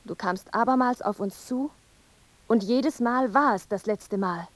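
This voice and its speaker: A woman's voice: on the high side, measured pace, no echo, lightly acted. Mournful, visionary young wife played by a woman. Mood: anguished. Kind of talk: poetic radio play acting.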